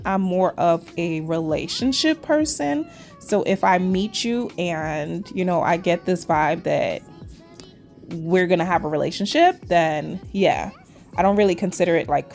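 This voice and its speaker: A woman's voice: on the low side, a little mellow. A woman in her forties with a Trinidadian and New York accent, chatting casually to viewers while she does her nails. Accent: Trinidadian mixed with New York. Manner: chatty, addresses viewers as cuties, says y'all. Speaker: nail vlogger.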